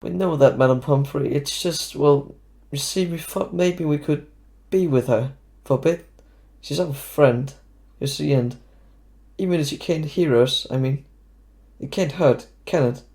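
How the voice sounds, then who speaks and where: A man playing an anxious, blunt schoolboy wizard in an audio drama, halting and incredulous. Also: fairly high pitch, vocal fry, a little mellow. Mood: awkward pleading. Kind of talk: fan audio drama acting.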